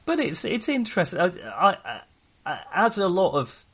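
A man chatting casually about films as a podcast co-host, in a halting, rambling manner. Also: high-pitched, sing-song, husky. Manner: halting, rambling, often chuckling. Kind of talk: casual film discussion.

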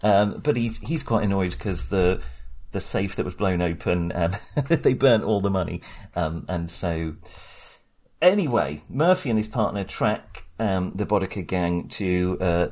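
A man's voice: sits low, animated, a little slow, darkish tone. A man chatting casually about a film, rambling with many hesitations and frequent chuckles. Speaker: podcast host.

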